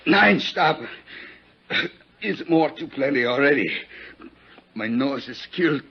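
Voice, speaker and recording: Flustered German-accented diamond dealer. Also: male voice, medium pitch, lively intonation, slow pace, husky, heavily acted. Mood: flustered, protesting. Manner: mangled English grammar, fussy protesting. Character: flustered, indignant European male diamond dealer. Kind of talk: 1950s radio comic character acting. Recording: dull vintage 1950s radio recording.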